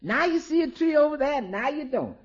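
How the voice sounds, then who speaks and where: An actress playing a jovial housekeeper who is deferential yet teasing, in exuberant 1950s radio sitcom style. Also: female voice, sits high, sing-song, a little fast. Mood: gleeful teasing. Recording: dull old 1950s radio recording.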